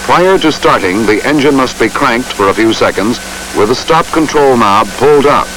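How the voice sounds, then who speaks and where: A man reads scripted instructional narration for a training film in a measured, formal way. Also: mid-range voice, animated. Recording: hissy old training film soundtrack.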